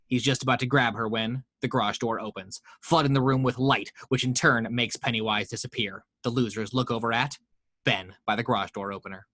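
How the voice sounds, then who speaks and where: A man's voice: medium pitch, fairly even intonation, a little fast, a bit bright, no echo. A man reading the action lines of a screenplay aloud at a home table read, steadily, voicing the sound effects. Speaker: narrator reading action description.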